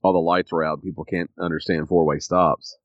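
A man co-hosting a podcast, sniffly and a little raspy from allergies, rambling with many ums. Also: sits low, flat delivery, a little fast, dry recording, lo-fi sound. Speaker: podcast co-host. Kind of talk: casual chat.

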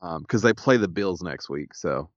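A man, co-hosting a podcast in casual chat, a little stuffy and raspy, laid-back with dry humor. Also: deep voice, lively intonation, normal speed, no room sound, highs cut off. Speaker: podcast co-host.

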